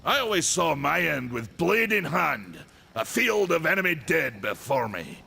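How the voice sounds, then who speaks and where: An actor playing a weary old male warrior who guards a gate, gruff, rustic and wry, with game music behind him. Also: a little high, very animated, a little slow, pushed and bright, full character voice. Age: older.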